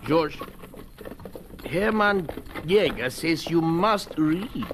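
An actor playing a gruff, kindly older immigrant farmer with a German accent and emphatic broken English in a radio drama. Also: male voice, fairly high pitch, lively intonation, very slow. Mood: earnest, insistent. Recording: noisy old radio drama recording.

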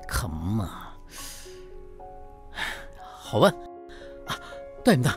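A man's voice: lowish voice, wildly swooping pitch, very slow, heavily acted. An actor playing a mild, accommodating working father who does research, hesitant in manner, in a radio drama. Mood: torn, hesitant. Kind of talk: radio drama acting, casual dialogue. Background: background music.

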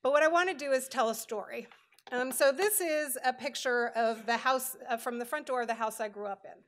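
She is a middle-aged American woman speaking as a professor at a lectern. Her voice sits somewhat high. She lectures like a storyteller, informal and conversational, often drifting into asides before returning to the thread. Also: a little fast. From Hawaii, United States. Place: lectern in a large auditorium.

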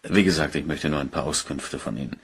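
An actor playing a polite, probing police inspector in a crime radio play, questioning in a measured, courteous way. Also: male voice, rumbling low, breathy edge, heavily acted.

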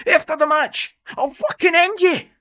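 A man's voice: extremely high pitch, normal speed, sharp tone, pitched above the performer's own voice. A Scottish man voicing an enraged young male goalkeeper who screams threats. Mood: raging.

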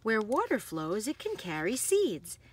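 Animated, slow-paced woman reading a picture book. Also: fairly high pitch, slightly rough. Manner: animated, emphasizing action words. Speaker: read-aloud host. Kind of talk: expressive read-aloud of a children's picture book.

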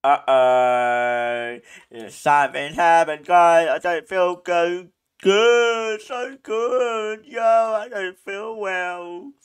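A man hosting a gaming channel, giving excitable play-by-play commentary, often laughing and yelping in alarm. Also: high-pitched, clean tone. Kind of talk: live gameplay commentary.

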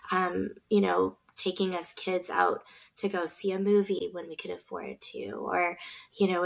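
A woman from Vietnam telling her family story in a relaxed podcast interview. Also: mid-range voice, gravelly. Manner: earnest, reflective storytelling. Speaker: podcast guest, food business owner. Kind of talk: casual interview talk.

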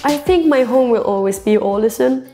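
A woman in her twenties from Norway, a singer-songwriter talking in a relaxed interview, earnest and chatty, with fillers and restarts. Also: a little high, normal speed, over loud music. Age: 20s. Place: dim indoor room, seated in an armchair.

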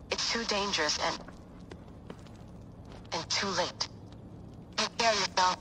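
Game role: a resolute young woman saying goodbye, bright tone, slow and earnest. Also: on the low side, slight background noise, low-quality recording. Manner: earnest, steady, halting. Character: resolute young woman leaving a farewell message. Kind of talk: video game cutscene acting.

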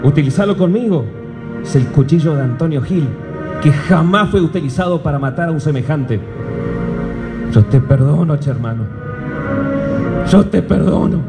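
An actor playing a gentle, fated gaucho outlaw with an Argentine accent, earnest and pleading, in live radio drama. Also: male voice, a little high, animated, moderate pace, pauses often. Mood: pleading, forgiving. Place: stage in a large hall before an audience. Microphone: stage microphone. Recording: reverberant hall, music clearly behind.

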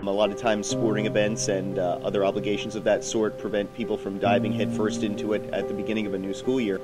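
Guy in a classroom, lowish voice, deadpan mock-gloomy, music loud behind. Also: quick pace. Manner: mock-dramatic, deadpan lament. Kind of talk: interview. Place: cluttered classroom.

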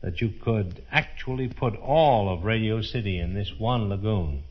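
An American man speaking as a tour guide in a scripted 1930s radio presentation, formal, measured and declamatory. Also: lowish voice, husky. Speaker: fair official acting as tour guide. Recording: dull, muffled 1930s radio broadcast.

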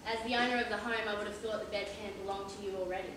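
A woman playing a brisk, dry police inspector, polite but firm, in an amateur stage play. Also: medium pitch, steady tone, lightly acted. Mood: brisk, probing. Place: large theatre stage, indoors. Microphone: far camera. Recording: echoey theatre from far off, noisy.

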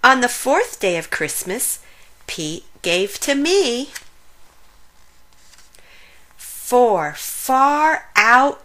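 A woman reading a rhyming children's picture book aloud in an animated, sing-song way with drawn-out emphasis. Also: on the high side. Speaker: children's story reader.

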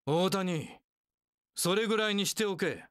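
A man's voice: high-pitched, animated, measured pace, fairly bright tone, no echo, clean studio sound. An actor playing a cold, haughty warlord strategist in an action game, imperious, clipped and full of scorn for his underlings. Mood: cold disdain. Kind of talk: Japanese action game voice acting.

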